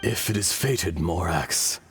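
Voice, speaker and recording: A man's voice: low-pitched, lively intonation, slow, fairly bright tone, soft background music, full character voice. A man playing a solemn ancient earth dragon in human form in a video game, with grave, formal, archaic phrasing. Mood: solemn, resigned. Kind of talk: video game dialogue acting.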